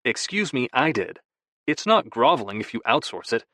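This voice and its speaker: Audiobook role: devoted young husband, quiet, tender, lightly playful, mid-pitched, read by a male narrator, dry close recording. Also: big pitch swings, normal speed, no echo. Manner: quiet, intimate, affectionate. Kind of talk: audiobook dialogue.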